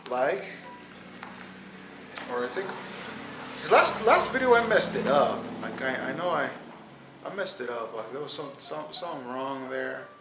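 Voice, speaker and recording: A man's voice: fairly high pitch, fairly bright tone, reverberant, a little hiss or hum. A man in his twenties hosting a solo podcast in a casual, rambling way, repeating words and restarting his sentences. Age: 20s. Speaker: podcast host.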